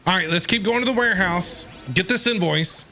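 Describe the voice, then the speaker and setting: A man's voice: high-pitched, brisk, pushed and bright. A man streaming himself playing a game, commenting over it in a casual, playful, joking way. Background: game music.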